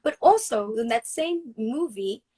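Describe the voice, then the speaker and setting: A woman guest with a fairly high voice speaks earnestly over a video call. She is from Africa and works as an actress, and she talks in a reflective way, restarting her sentences as she thinks. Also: animated, unhurried, dark tone, breathy edge. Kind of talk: casual video chat interview.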